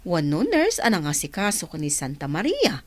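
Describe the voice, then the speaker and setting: A woman's voice: fairly low pitch, huge pitch swings, normal speed, dry recording. A woman playing a friendly, teasing, philosophical doctor in a radio drama. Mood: reflective.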